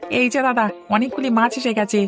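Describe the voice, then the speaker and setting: Young cartoon farmhand, voiced by a woman: fairly high pitch, eager, loyal, respectful, light music underneath. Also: fairly even intonation, a little fast, natural voice. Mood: eager, admiring. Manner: eager, deferential. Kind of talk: cartoon dialogue. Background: instrumental music.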